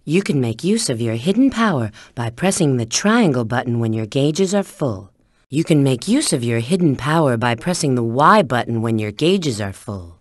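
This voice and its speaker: A woman's voice: a bass voice, dry recording, studio quality. A woman voicing a confident, teasing bat treasure hunter in a video game, coy and instructive. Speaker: video game character giving hints. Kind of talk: video game voice acting, tutorial prompts.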